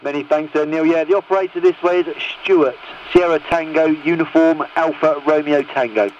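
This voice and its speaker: A man's voice: on the high side, steady tone, normal speed, darkish tone. A man working a CB radio net in matey, procedural talk. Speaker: CB radio operator. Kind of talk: casual radio net chat. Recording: dull, noisy CB radio transmission.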